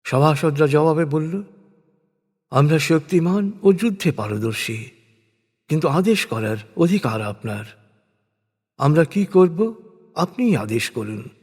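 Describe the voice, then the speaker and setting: A man reading a scripted scripture translation in a measured, solemn, deliberate manner. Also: fairly high pitch, lively intonation, a little mellow, husky. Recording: long hall reverb on voice.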